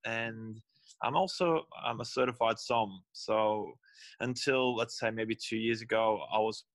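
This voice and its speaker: A man's voice: on the low side, creaky, fairly bright tone, no room sound. A young man, a winemaker talking in a casual interview, rambling and often correcting himself mid-sentence. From Belgium. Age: young adult. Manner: rambling, restarts and self-corrections. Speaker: guest winemaker.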